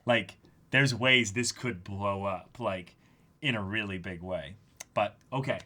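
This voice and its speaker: A man's voice: fairly low pitch, unhurried, pushed and bright. A man co-hosting a livestream, chatting casually and enthusiastically as a fan. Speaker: livestream co-host.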